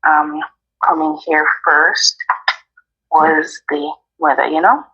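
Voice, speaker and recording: Relaxed low-voiced Jamaican woman. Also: slow, breathy edge, lo-fi sound. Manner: relaxed, many ums and "you know"s. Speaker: guest. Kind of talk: casual interview chat.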